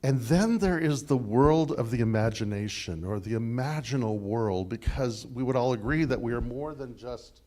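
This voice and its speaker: Animated man with a big voice. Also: medium pitch. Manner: animated, discursive, many fillers and restarts. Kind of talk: conversational sermon dialogue.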